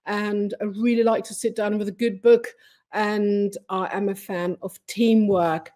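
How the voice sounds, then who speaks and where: A woman's voice: medium pitch, level tone, a little slow, warm tone. A middle-aged woman, a teacher educator, presenting a webinar from her computer mic in a friendly, explanatory way with fillers. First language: English.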